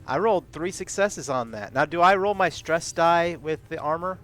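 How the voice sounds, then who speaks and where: Dude playing a tabletop rpg, chatting casually, voice a little high, soft music behind. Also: male voice, moderate pace. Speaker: tabletop game player. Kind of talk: casual game-table chat.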